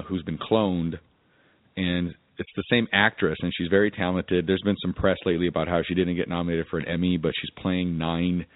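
A man's voice: sits low, dry recording, narrow, tinny audio. A man co-hosting a podcast in casual, informal conversation. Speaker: podcast co-host. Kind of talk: casual chat.

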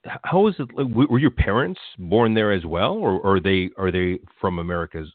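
A man's voice: low voice, sing-song, fairly quick, no echo. Chatty man interviewing, tinny line. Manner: chatty, rambling questions with restarts. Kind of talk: casual interview.

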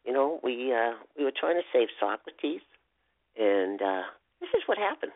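Casting: male actor as rambling, excitable kids' show storyteller, extremely swoopy pitch, dry but phone-thin audio. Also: normal speed, dry recording. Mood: reminiscing. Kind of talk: rambling children's show introduction. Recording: thin, phone-like radio sound.

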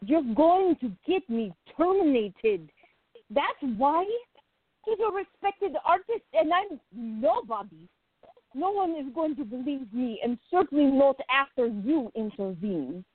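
High-voiced, unhurried young woman, acted by a woman. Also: animated, dry recording, phone-quality sound. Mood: upset, protesting. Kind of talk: radio play acting.

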